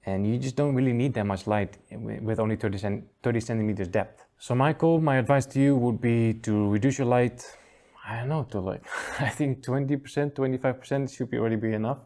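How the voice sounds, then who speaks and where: A man's voice: medium pitch, a little fast, warm tone. A man hosting a casual review show, easygoing and chuckling often as he trails off and restarts sentences. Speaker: aquascaping channel host.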